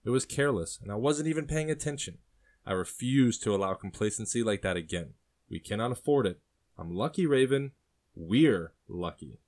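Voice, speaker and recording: A man voicing a calm, firm young man who speaks plainly and truthfully. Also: lowish voice, moderate pace, lightly acted. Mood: gentle. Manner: firm, unfazed.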